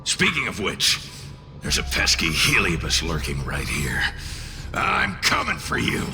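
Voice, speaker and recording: A man's voice: deep voice, wildly swooping pitch, a little slow, creaky, exaggerated voice. A man voicing a brash, grumbling spirit in a video game, with a sarcastic, blustering manner. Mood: cocky. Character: brash, grumbling male spirit bound to a girl. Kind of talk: video game character acting. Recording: game music behind the voice.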